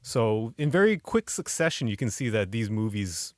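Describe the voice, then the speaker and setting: A man's voice: fairly low pitch, very animated, normal speed, dry recording, studio quality. A man co-hosting a podcast in casual discussion, questioning and debating his points. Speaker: podcast co-host.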